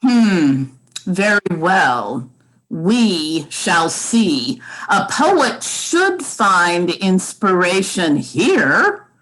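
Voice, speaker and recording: A woman acts out an imperious old society matriarch for a radio play. She speaks very slowly and haughtily, her pitch swooping widely as she commands and looks down on everyone. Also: big pitch swings, a bit bright, heavily acted, low-quality recording. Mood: haughty, appraising. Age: older. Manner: haughty, drawn-out, commanding delivery. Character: imperious, snobbish old grand dame matriarch. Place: remote home recording over video call. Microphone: video call.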